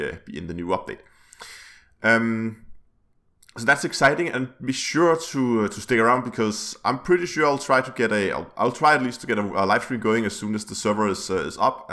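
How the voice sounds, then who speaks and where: Guy with a kinda rough low voice hosting a gaming news vlog, lots of um and uh, restarts. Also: fairly bright tone. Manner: rambling with many fillers and restarts. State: slightly rough voice. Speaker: gaming channel host. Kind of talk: casual weekly news vlog.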